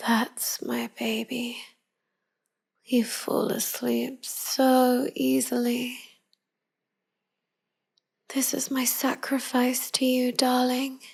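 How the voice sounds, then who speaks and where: Slow, whispery woman playing a tender vampire wife. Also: sits high, completely flat delivery, heavily acted. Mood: fond tenderness. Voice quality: soft, breathy, half-whispered. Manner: slow, gentle, murmured endearments. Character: tender, melancholy immortal vampire wife, devoted and wistful. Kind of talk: intimate pillow-talk ASMR roleplay.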